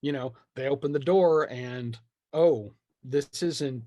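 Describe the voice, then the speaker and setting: A man's voice: mid-range voice, slow pace, dry recording. A man being interviewed as a game writer, talking casually and acting out imagined remarks with wry, self-deprecating humour. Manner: animated storyteller, acts out imagined remarks. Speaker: guest, tabletop game writer.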